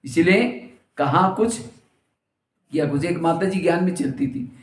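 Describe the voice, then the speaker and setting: A man's voice: a little high, unhurried. A man giving a spiritual discourse, telling funny stories and acting out what people said. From India. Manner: storytelling with jokes and acted quotes. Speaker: spiritual teacher. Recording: roomy hall echo.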